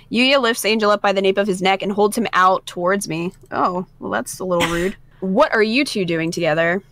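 A woman's voice: mid-range voice, moderate pace, fairly bright tone, dry recording. A young woman playing a visual novel, reading lines aloud and reacting in casual chat. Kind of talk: casual let's play chat and read-aloud.

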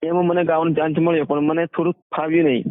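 A man's voice: on the high side, little pitch movement, quick pace, dry recording. A man, a singer and band owner talking casually in a phone interview. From Gujarat, India. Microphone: phone call. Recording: tinny narrowband phone line.

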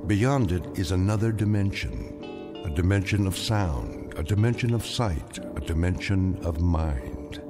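A man giving a solemn, deliberate dramatic show opening as narrator. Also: deep voice, music in the background.

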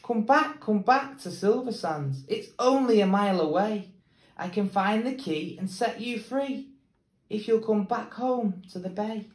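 Storybook seagull, eager and pleading, raised high, slow delivery, put on by a male reader. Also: roomy sound. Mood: urging.

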